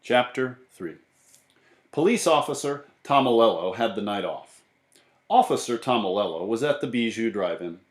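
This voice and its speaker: A man reading a children's storybook aloud in animated narration, recorded in an echoey room. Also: medium pitch, moderate pace. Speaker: book reader.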